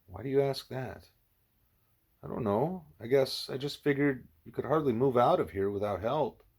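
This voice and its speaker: A man's voice: medium pitch, moderate pace, a little mellow, slightly rough, pitched above the performer's own voice, close to an everyday voice. A man voicing a frank, questioning schoolgirl in an audiobook, with an informal, wry manner. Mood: curious.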